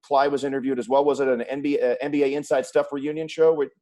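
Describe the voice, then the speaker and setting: A man's voice: medium pitch, level tone, fast, warm tone. An American man hosting a sports interview series, putting questions in a conversational, announcer-like way. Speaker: web series host, sports play-by-play announcer.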